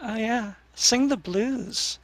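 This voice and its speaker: A fellow streamer giving brief, relaxed replies in a casual late-night chat. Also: medium pitch, slow, slight background noise, low-quality recording.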